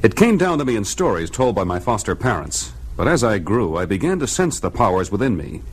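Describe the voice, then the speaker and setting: A man's voice: on the low side, big pitch swings, a little fast, husky, rough recording. An actor playing a modest, earnest, fatherly superhero, narrating in the first person in an audio comic. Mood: reflective. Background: music.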